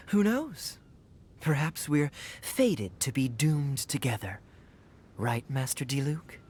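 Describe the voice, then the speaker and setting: An actor playing a suave, teasing young adventurer in a video game, speaking in a smooth, theatrical, playful way. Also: male voice, medium pitch, sing-song, slow, a little mellow, a little hiss or hum. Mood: amused teasing. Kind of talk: video game character acting.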